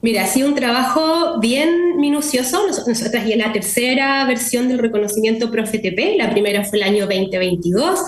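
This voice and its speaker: Chilean lady explaining things steady on a remote call, kinda echoey room. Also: on the high side, normal speed, hardly any pauses. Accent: Chilean Spanish. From southern Chile. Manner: steady explanatory, tag questions like "¿cierto?". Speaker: guest, executive director of a nonprofit. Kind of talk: interview. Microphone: remote connection. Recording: echoey room with faint noise.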